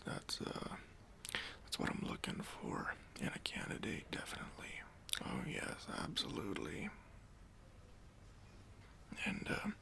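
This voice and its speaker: Man playing a whispering, creaky, pompous boss. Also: medium pitch, fairly bright tone, exaggerated voice. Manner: pompous, condescending, halting with drawn-out pauses. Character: self-important, demanding, petty male boss conducting a job interview. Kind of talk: whispered ASMR roleplay.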